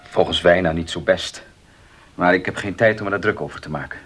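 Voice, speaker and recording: An actor in a Dutch radio drama playing a stubborn, embittered farmer, with a gruff, earnest, slightly husky way of speaking. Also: male voice, sits low, a little fast, a bit bright, faint music behind. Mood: curt, bitter.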